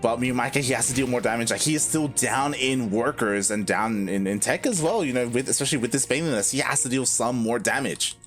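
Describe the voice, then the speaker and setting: A man doing relaxed, measured play-by-play as co-caster of a live strategy match. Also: medium pitch, soft background music. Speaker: esports co-caster.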